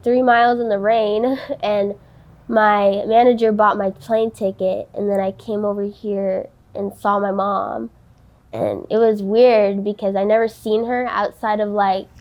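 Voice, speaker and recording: Young woman, nineteen, slow halting speech, soft-spoken, lots of ums and likes. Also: medium pitch. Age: young adult. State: tearful, voice breaking. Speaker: interviewee. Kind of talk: candid interview.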